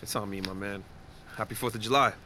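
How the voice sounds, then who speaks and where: An actor playing a brash, foul-mouthed war-veteran coworker, blunt, pushy and joking, in a naturalistic audio drama. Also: male voice, fairly low pitch, steady tone, fast talker, sharp tone, slight background noise. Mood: gruff, friendly.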